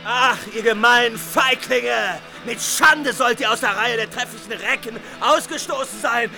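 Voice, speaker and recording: An actor in a radio drama playing a man who bitterly accuses cowardly murderers, speaking slowly. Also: extremely high pitch, creaky, over music, full character voice. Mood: outraged.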